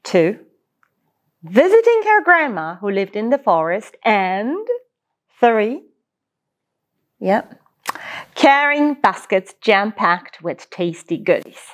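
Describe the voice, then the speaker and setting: A woman reading a children's story aloud in a playful, expressive manner, adding her own asides. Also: medium pitch, slow, pauses often.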